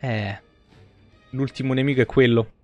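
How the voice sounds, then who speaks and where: A man's voice: medium pitch, fairly even intonation, moderate pace, darkish tone. A man, giving casual, blunt and sweary live game commentary to his chat. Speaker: live streamer. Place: indoor room at home, streaming setup. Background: video game music.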